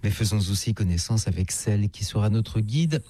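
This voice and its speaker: A man's voice: fairly low pitch, lively intonation, normal speed, warm tone. A man hosting and interviewing on a travel radio show in a conversational presenting style. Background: music.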